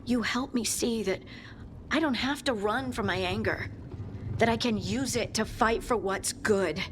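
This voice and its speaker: A woman's voice: on the high side, a little slow, slight background noise, lightly acted. An actress playing a loyal, determined female fighter in a fantasy game, sincere and plain-spoken. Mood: grateful, determined. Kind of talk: scripted fantasy game dialogue.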